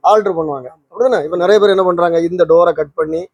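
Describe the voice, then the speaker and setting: A man's voice: high-pitched, fast talker, gravelly, darkish tone. A man hosting a repair channel, explaining things casually and often checking with tag questions. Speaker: host of a vintage radio repair channel.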